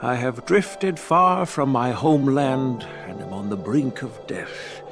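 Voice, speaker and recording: An actor voicing a kindly, teasing old general and grandfather in a video game, measured and sage-like. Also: mid-range voice. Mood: solemn. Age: older. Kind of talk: English video game voice acting. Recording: game music behind the voice.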